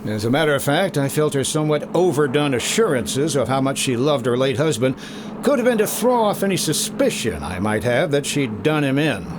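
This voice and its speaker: An actor playing a wry, breezy freelance insurance investigator, narrating in clipped hardboiled 1950s radio style. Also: male voice, mid-range voice, very animated, fairly quick, noisy background, lightly acted. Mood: wry, suspicious.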